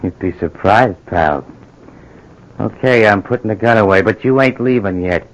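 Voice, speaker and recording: Old radio crime play: male actor as low, tough-talking schemer, slangy, bullying, muffled vintage sound. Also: normal speed, close to an everyday voice. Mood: pushy, persuasive. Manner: tough slangy wise-guy talk, bullying and coaxing. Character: pushy streetwise male stick-up crook, cynical schemer. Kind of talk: old-time radio drama acting. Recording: dull, noisy old radio broadcast recording.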